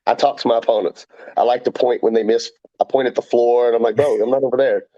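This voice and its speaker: A man guesting on a podcast, talking fast and rambling excitedly, swearing freely and acting out what others said. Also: medium pitch, lo-fi sound, crowd noise. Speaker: guest fighter. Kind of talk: casual podcast chat.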